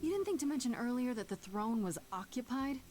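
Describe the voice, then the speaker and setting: Plucky young heroine played by an actress over music. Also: female voice, fairly high pitch, animated, fairly quick, darkish tone, lightly acted. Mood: wry, challenging. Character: determined, questioning young mortal heroine, plucky and blunt. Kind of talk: dramatic video game voice acting. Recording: music under the voice.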